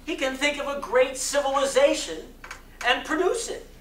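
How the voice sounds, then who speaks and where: A man performing a dramatic sermon reading in an oratorical style, with solemn, emphatic phrasing and long deliberate pauses. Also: extremely high pitch, fairly bright tone. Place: community center hall before an audience. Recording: roomy echo, distant mic, faint noise.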